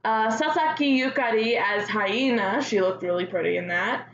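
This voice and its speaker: A woman's voice: a little high, moderate pace, echoey room, lo-fi sound, a little hiss or hum. A woman hosting casual fan news commentary, speaking as a gushing enthusiast with hesitant, filler-dotted delivery. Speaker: host of a fan news show.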